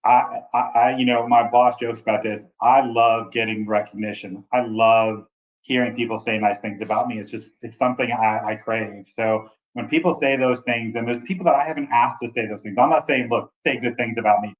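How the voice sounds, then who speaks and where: A man in his forties with a big voice, telling his story with energetic enthusiasm in a casual interview. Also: medium pitch, brisk, muffled recording. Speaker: travel nurse recruiter.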